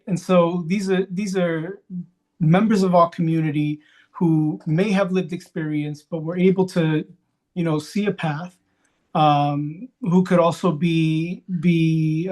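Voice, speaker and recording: Male panelist from New York, slightly high voice, reflective, halting, unscripted organizer talk. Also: fairly even intonation, a little mellow, low-quality recording. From Queens, New York, USA. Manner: reflective, halting, punctuated with "right?".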